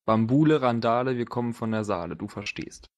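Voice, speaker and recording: A man narrating a tabletop role-playing game as game master, improvising in a casual, halting, colloquial way. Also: medium pitch, animated.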